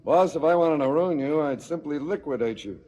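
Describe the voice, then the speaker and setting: A man's voice: fairly high pitch, expressive, a little fast, mellow. An actor playing a calculating corrupt policeman in a stylised radio drama, declamatory and coolly menacing, with clipped retorts. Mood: coolly accusing. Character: smug, calculating corrupt policeman muscling in on racket.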